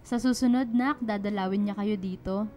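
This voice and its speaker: A woman's voice: mid-range voice, moderate pace, dark tone, close to an everyday voice. A Filipino woman playing a worried, caring middle-aged single mother in a radio drama. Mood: gentle, apologetic. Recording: music behind the dialogue.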